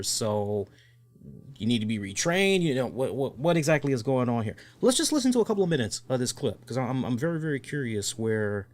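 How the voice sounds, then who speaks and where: A man's voice: medium pitch, darkish tone. A man hosting a live-streamed wrestling talk show, rambling conversationally and working through everyday hypothetical examples. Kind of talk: live-streamed casual commentary.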